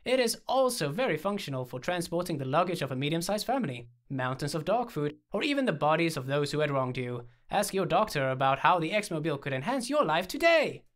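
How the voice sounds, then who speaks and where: Male narrator as excitable young man, raised pitch, loud, fervent, playful, childlike, audiobook dialogue. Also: a little fast, few pauses. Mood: mock salesman. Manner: fervent, theatrical, exclaiming.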